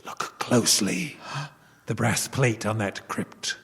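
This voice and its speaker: Man acting slow, solemn vengeful corpse, echoing. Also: lowish voice, creaky, fairly bright tone, exaggerated voice. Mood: cold, accusing. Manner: solemn, deliberate, incantatory. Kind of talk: old-time radio horror acting before a live audience. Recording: long reverb of a live hall.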